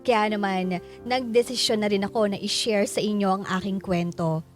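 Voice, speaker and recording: A woman's voice: medium pitch, fairly even intonation, moderate pace, soft background music, natural voice. A woman playing a shopkeeper in her forties, narrating her own story in an earnest, reflective way for a radio drama. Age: 40s. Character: forty-three-year-old woman shopkeeper telling her life story. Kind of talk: scripted first-person letter narration.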